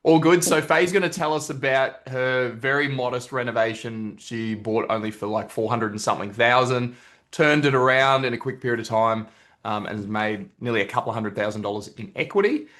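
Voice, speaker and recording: A man with a mid-pitched voice hosts a casual online interview. He speaks as a coach, introducing his guest and commenting in a conversational way. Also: fairly quick, fairly bright tone. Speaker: host, property investment coach. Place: remote video call.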